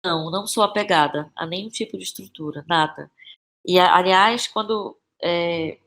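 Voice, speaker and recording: A woman's voice: on the low side, fairly bright tone. A woman with a Brazilian Portuguese accent speaking as an interview guest about her creative work, casually and reflectively, often searching for words and repeating phrases. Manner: halting, searching for words, repeats phrases, frequent tag questions. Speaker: playwright and actress, podcast guest.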